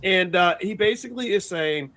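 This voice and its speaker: A man hosting a news commentary show. He talks in an animated, rambling way and often repeats words. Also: high-pitched, moderate pace. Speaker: host.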